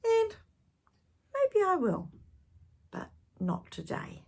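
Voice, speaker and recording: A woman reading a picture book aloud to young children, expressive and dramatic, with gentle questioning asides. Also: very high-pitched, very slow, vocal fry. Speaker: children's storytime reader.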